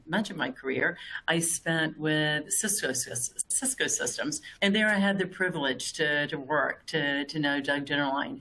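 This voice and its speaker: A woman's voice: deep voice, fairly bright tone. A woman HR leader introducing herself on a panel, earnest and often repeating words.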